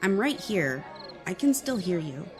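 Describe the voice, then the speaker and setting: A man's voice: sits high, big pitch swings, measured pace. A man voicing an earnest, protective young lord who speaks directly. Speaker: lead character of an audio drama. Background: music score.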